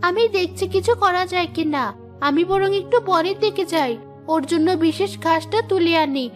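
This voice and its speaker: A woman's voice: very high-pitched, normal speed, soft background music. A woman voicing a humble, anxious young village cook in a fairy-tale cartoon, earnest and polite. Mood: worried.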